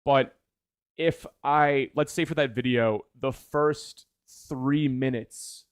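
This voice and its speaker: A man's voice: medium pitch, fairly even intonation, takes it slowly, smooth, no echo, clean studio sound. A man who makes video essays chatting casually on a livestream in an enthused, rambling way, often correcting himself. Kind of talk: casual livestream chat with viewers.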